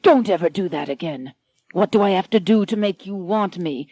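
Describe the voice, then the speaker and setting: A woman's voice: mid-range voice, moderate pace, a little mellow, no room sound, lightly acted. A woman playing a brutal snarling duke. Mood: furious. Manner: snarling, contemptuous. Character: brutal jealous male duke, domineering husband.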